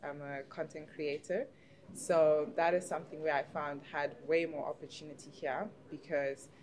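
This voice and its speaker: A woman's voice: low-pitched, fairly even intonation, moderate pace, darkish tone. A young woman from Zimbabwe, a student and content creator, speaking in an easygoing, chatty documentary interview. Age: young adult. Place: university lounge, indoors.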